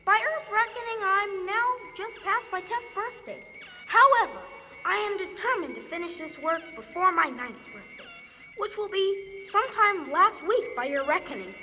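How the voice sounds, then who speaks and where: A woman's voice: extremely high pitch, expressive, moderate pace, pushed and bright, heavily acted. A woman playing a determined ten-year-old boy with old-fashioned, earnest speech in a comic radio play. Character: plucky ten-year-old boy, really an old man ageing backward. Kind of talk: comic science fiction radio acting. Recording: long hall reverb, music underneath.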